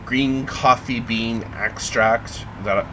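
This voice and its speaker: A man vlogging in a casual, rambling way, halting with lots of ums and sounding a little congested. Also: medium pitch, fairly even intonation, noisy background. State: congested, feeling sick.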